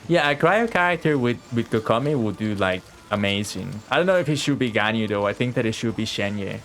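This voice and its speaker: A man giving casual live commentary as a game streamer, reacting out loud and swearing freely. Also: fairly low pitch, lively intonation, a little fast. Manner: profane, reactive, talks to game and viewers. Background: game music.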